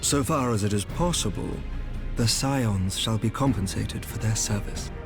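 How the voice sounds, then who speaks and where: An actor playing a composed, courtly knight commander in a fantasy game, speaking formal, measured archaic English. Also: male voice, fairly low pitch, gravelly, over music. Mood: measured, diplomatic. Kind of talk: scripted fantasy game dialogue.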